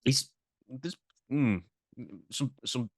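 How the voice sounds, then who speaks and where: A man's voice: mid-range voice, very slow, no room sound, clean studio sound. A man co-hosting a podcast, reading out news and discussing it in a casual, slightly hesitant, questioning way. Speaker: podcast co-host.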